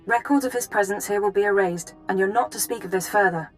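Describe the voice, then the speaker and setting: A woman's voice: medium pitch, fast talker, faint music behind, close to an everyday voice. A woman playing a controlled, efficient intelligence handler in a video game, speaking in a clipped, formal way. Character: brisk, clinical, controlled female intelligence handler. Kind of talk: video game cutscene acting.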